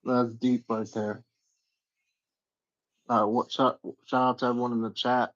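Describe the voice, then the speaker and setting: A man's voice: medium pitch, level tone, a little slow, no echo, highs cut off. A man hosting a casual livestream interview, slangy and agreeable, asking questions in a laid-back way.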